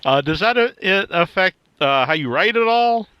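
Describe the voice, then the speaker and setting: A man hosting a casual interview, asking informal questions in a halting way. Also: sits high, animated, muffled recording. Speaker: show host, interviewer. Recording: clear background noise, clicks.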